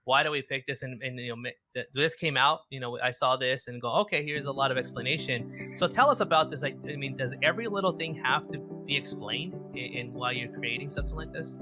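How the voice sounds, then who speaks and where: A man's voice: medium pitch, fast talker, hardly any pauses, music in the background. A man hosting a podcast in casual chat, eager and often restarting his sentences with repeated words. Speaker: podcast host.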